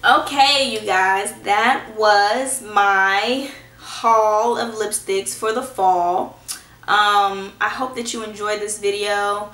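A woman in her twenties, a little hoarse from a cold, reviewing lipsticks in a chatty, reassuring way, teasing herself now and then. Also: medium pitch, steady tone, slow pace, sharp tone. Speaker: beauty vlogger. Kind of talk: casual product review. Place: small indoor room at home. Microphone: camera in front at close range. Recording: echoey room.

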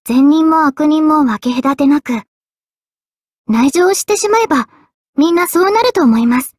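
A woman playing an earnest, polite, quietly self-deprecating young female mage in expressive anime game voice lines. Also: high voice, moderate pace, no echo, clean studio sound. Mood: bright, modest. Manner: polite, expressive, shifting between excited and subdued.

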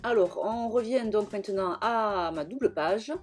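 A young woman narrating her own craft video in a casual, halting way, full of fillers and restarts. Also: medium pitch, music in the background. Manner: hesitant, many fillers and restarts. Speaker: scrapbooking vlogger.

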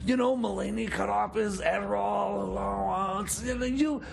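A man playing an excitable, rambling jailbird grifter who stammers and repeats himself in a radio comedy. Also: moderate pace, crowd noise. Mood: rambling, earnest. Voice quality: high-pitched, creaky.

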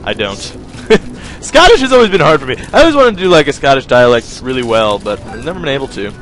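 A man's voice: medium pitch, sing-song, fairly quick, fairly bright tone, rough recording. A young man giving casual, rambling commentary over a video game, joking and talking to his viewers. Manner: rambling, joking, self-mocking, talks to viewers. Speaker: gaming video host. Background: faint game music.

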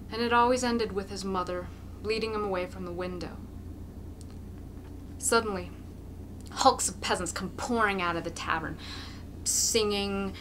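A woman's voice: mid-range voice, noisy background. A woman reading a literary story aloud as an amateur narrator, in a dramatic, hammy style. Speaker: amateur reader.

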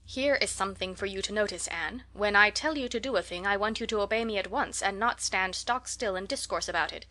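A woman voicing a stern, practical older female guardian in an audiobook, brisk, firm and disapproving. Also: mid-range voice, sharp tone, lightly acted. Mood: commanding. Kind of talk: audiobook character voice.

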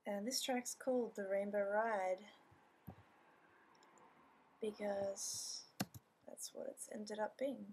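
A woman's voice: medium pitch, steady tone, takes it slowly, pauses often, a little mellow. A young woman on a webcam in a small dim room, chatting dreamily and playfully about her new song, her voice a little scratchy. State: slightly scratchy voice. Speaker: singer-songwriter vlogger. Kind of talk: casual webcam chat and singing a song demo. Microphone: webcam at close range.

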